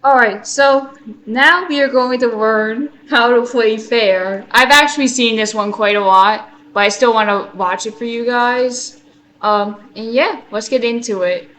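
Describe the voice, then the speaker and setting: Casual woman with a higher-pitched voice. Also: measured pace, a bit bright, rough recording. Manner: joking, playful asides. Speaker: host of a reaction video. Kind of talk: casual reaction commentary.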